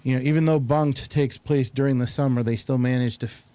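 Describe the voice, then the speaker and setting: A man hosting a casual talk show, speaking in a halting but enthusiastic, rambling way. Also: mid-range voice, steady tone, dark tone, no echo. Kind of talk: casual rambling round-table chat.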